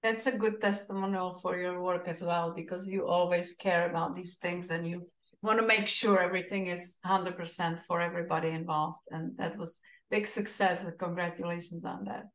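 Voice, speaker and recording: A woman's voice: fairly low pitch, steady tone, normal speed, a bit bright, reverberant, low-quality recording. A woman from Czechoslovakia with a Czech accent, chatting casually as an interviewer.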